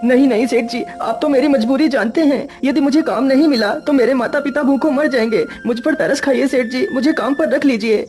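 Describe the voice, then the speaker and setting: A woman's voice: sits high, brisk, hardly any pauses, a little mellow, faint music behind, lightly acted. A woman voicing an anxious, hardworking young male farmer in a cartoon, earnest and pleading.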